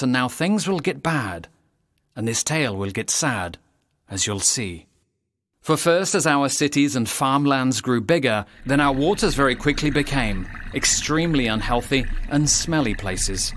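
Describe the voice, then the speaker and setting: A man's voice: mid-range voice, a bit bright. A man narrating a rhyming educational tale in a playful, theatrical storytelling manner, speaking straight to the listener.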